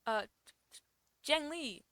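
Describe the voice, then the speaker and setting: A woman's voice: high voice, animated, very slow, sharp tone. A woman giving casual, slangy, playful commentary as she plays a game, close on the mic. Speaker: gaming content creator reacting to a story quest.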